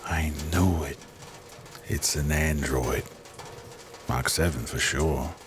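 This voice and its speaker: A man voicing a gruff, world-weary, aging detective who grumbles and swears in an amateur noir radio drama. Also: very deep voice, slow pace. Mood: knowing. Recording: noisy amateur recording.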